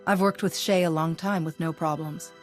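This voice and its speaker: A woman's voice: low-pitched, fairly even intonation, normal speed, faint music behind, natural voice. A woman playing a composed, direct veteran hero in a space fantasy game, cool and concise. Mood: direct, inquiring.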